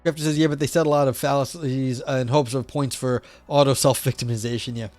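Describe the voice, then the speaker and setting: A man with a scratchy, hoarse voice on a livestream, reading philosophy passages aloud and then commenting casually, swearing and poking fun at himself. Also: medium pitch, steady tone, quick pace, a little mellow, faint music behind. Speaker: streamer reading philosophy text.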